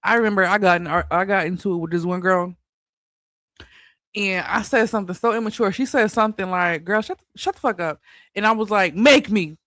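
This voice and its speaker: A man's voice: high-pitched, fairly quick, sharp tone, no echo, clean studio sound. A man hosting a casual commentary channel, talking loosely with a slight lisp and a bit of a stutter. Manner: stutters a little, loose and chatty.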